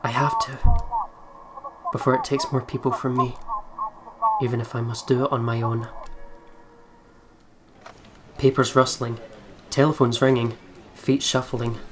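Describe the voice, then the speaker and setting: A man's voice: medium pitch, flat delivery, normal speed, heavy background noise. A man with a Scottish accent, commentating casually on a game and reading its text aloud. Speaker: let's play commentator.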